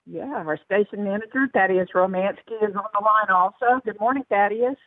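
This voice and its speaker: Woman, radio host, gravelly voice, chatty with fillers, tinny phone line, dry sound. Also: medium pitch, steady tone, brisk, dry recording.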